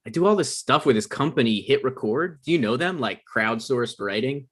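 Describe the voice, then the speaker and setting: An actor at a comedy table read playing a petty, self-important production coordinator, dry and argumentative. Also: male voice, medium pitch, expressive, a little fast, a bit bright, slightly rough. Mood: needy, frustrated.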